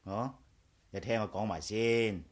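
A man's voice: lowish voice, unhurried, lightly acted. A man with a Hong Kong Cantonese accent playing an easygoing, boastful engineer friend in a 1980s radio drama. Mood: genial.

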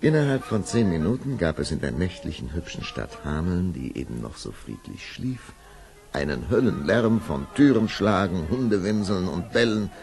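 A man's voice: sits low, big pitch swings, normal speed, a little mellow. A man narrating a fairy tale for a children's audio play in a scripted storytelling style. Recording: old audio play with music behind.

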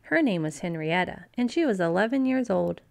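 A woman narrating a children's storybook in an expressive, scripted read-aloud style. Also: on the low side, fairly quick, gravelly.

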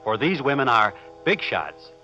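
An actor playing a courtly satirical narrator, mock-polite and oratorical, in a 1940s radio drama. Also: male voice, mid-range voice, very animated, a little slow. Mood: wry, courtly. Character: wry, courtly satirical male narrator addressing ladies. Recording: muffled vintage radio, loud music behind.

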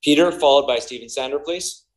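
A man moderating a press conference, calling on reporters in a brisk, formal way. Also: fairly high pitch.

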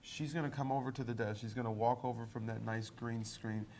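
A man's voice: medium pitch, steady tone, fairly quick, a little mellow. Young man, co-host, playful teasing banter, audible room noise. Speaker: student news co-host. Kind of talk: casual studio banter. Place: campus TV studio.

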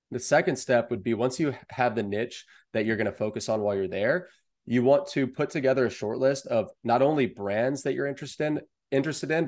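A young American man with a fairly low voice. He speaks as a guest seller, laying out advice step by step in an explanatory interview style, recorded over a video call from an indoor room. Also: a little mellow. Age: young adult. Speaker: guest, online wholesale seller.

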